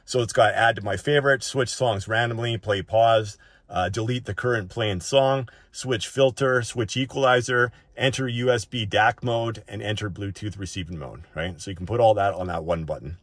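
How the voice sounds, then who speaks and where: Low-voiced man giving a casual tutorial. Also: moderate pace, no echo. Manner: informal, often ends points with a checking "right?". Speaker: tech reviewer presenting a settings walk-through.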